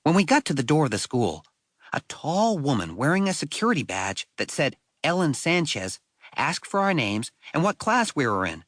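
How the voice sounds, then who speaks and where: Wry schoolboy storyteller, played by a man, animated. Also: on the high side, normal speed, no echo, studio quality. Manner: wry, conversational, expressive. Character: wry, self-deprecating sixth-grade human boy narrator. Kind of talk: first-person audiobook storytelling.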